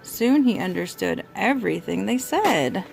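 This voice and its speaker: A young adult woman reading a children's storybook aloud as a mother does, gently prompting a small child. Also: mid-range voice, animated, a little slow, vocal fry, faint music behind. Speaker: mother reading to her toddler.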